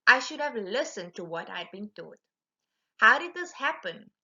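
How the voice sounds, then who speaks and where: A woman's voice: fairly high pitch, sing-song, normal speed, sharp tone, lo-fi sound, exaggerated voice. A woman voicing a proud, dramatic female shark in a storybook, theatrical and exclaiming. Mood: distraught.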